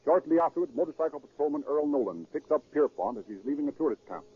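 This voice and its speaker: A man's voice: a little high, animated, fast talker, slightly rough, narrow, tinny audio. An American man narrating a 1930s radio crime drama in a formal, dramatic scripted style. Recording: thin 1930s radio recording with music.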